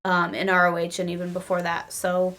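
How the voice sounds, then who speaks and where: A woman's voice: lowish voice, monotone, brisk, a crowd in the background. Woman chatting as an enthusiastic fan. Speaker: panelist on a wrestling podcast. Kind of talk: casual group chat.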